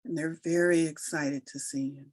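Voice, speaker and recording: Low-voiced woman guiding a meditation. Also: fairly even intonation, no echo. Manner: soothing, unhurried instruction with long pauses. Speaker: meditation guide. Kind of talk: slow guided meditation narration.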